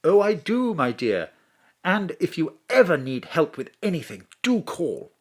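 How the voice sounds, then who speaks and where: A man playing a cool, smooth political adviser with a dry, flirtatious manner in an audio drama. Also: on the high side, big pitch swings, a little slow. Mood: suave.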